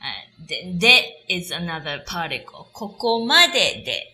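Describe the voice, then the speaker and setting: A woman's voice: fairly low pitch, very animated, unhurried, sharp tone. A woman co-hosting a language lesson, patiently explaining words and repeating them to teach.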